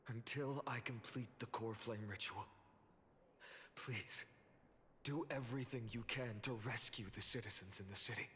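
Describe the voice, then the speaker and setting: An actor voicing an earnest young male leader in a video game, speaking in a sincere, measured way. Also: mid-range voice, pushed and bright, slight background noise, lightly acted. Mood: commanding. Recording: game audio with music and reverb.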